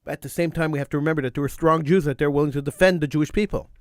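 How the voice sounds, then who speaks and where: A man's voice: a little high, fairly even intonation, very fast, dry recording, clean studio sound. A middle-aged man hosting a talk radio show, speaking emphatically and repeating phrases for stress, with a slight cold wearing on his voice. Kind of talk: impassioned talk radio monologue.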